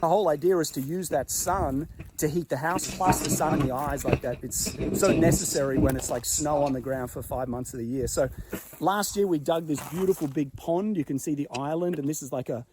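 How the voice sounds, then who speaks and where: A man with an Australian accent chats casually as he walks outdoors around a farm, slipping "uh" and "like" in everywhere. His voice sits a little high for a man. Loud outdoor noise runs behind him the whole time. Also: brisk. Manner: relaxed and chatty, with plenty of "uh" and "like". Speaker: vlogger. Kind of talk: casual walk-and-talk vlog.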